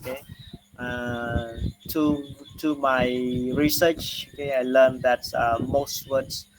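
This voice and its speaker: A man with a Khmer accent giving an online lecture, speaking haltingly with many "uh" and "okay" fillers. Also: medium pitch, fairly even intonation, a little hiss or hum, poor audio. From Cambodia.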